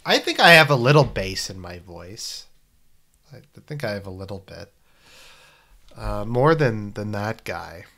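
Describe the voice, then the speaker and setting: A man's voice: on the low side, very animated, measured pace, bright, forceful tone. A man giving sarcastic, rambling commentary on a casual live stream while reacting to clips.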